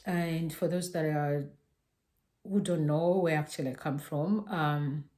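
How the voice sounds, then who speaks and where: A young woman from Uganda chats to her camera in a low, warm, mellow voice. She talks hesitantly, with frequent fillers and restarts. It sounds like a casual vlog recorded indoors at home. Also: a little slow. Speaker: vlogger.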